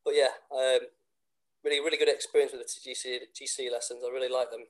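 A man's voice: mid-range voice, level tone, quick pace. A man in his thirties, a teacher with a cold and a sore throat, answering interview questions hesitantly with many restarts. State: congested with a cold.

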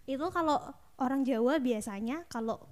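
A woman's voice: high voice, moderate pace, darkish tone. A woman with a Javanese accent, hosting a casual discussion and explaining things to listeners conversationally. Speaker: podcast discussion host.

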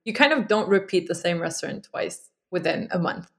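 A woman's voice: fairly low pitch, lively intonation, normal speed, gravelly, a bit bright. Cheerful young woman guest chatting. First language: French-educated Lebanese. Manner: cheerful, laughs often. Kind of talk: casual chat.

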